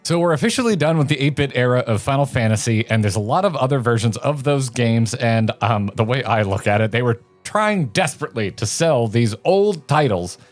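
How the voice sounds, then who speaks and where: A man co-hosting a video game show, talking casually in an animated, rambling and opinionated way. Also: mid-range voice, a little fast, hardly any pauses, faint music behind. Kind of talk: casual chat and commentary.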